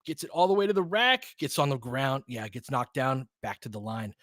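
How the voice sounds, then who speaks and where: A man's voice: mid-range voice, sing-song, moderate pace, a bit bright, dry recording, clean studio sound. An American man doing casual play-by-play as a partisan fan, in clipped, colloquial present-tense phrases. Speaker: play-by-play host and fan commentator. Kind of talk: casual audio basketball play-by-play commentary.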